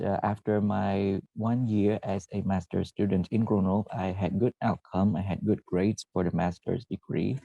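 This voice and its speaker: Man from Vietnam, very low gravelly voice, mellow dark tone, presentation, halting with fillers. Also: no room sound, muffled recording. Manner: halting, frequent "uh" and self-corrections. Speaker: former PhD student presenting. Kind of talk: presentation to students.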